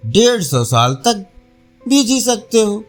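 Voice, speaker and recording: A man's voice: sits high, very animated, slow, heavily acted. A man voicing a learned, self-assured village priest and astrologer in a storybook tale, pronouncing with confidence. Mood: reassuring. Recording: faint music behind voice.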